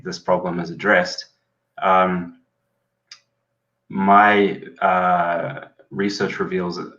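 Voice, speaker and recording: A young man with a New Zealand accent speaks in a very low voice. He explains technical ideas fluently and earnestly. The sound is dull and lo-fi, as over a video call. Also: a bit bright. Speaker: PhD student guest. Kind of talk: explaining his research.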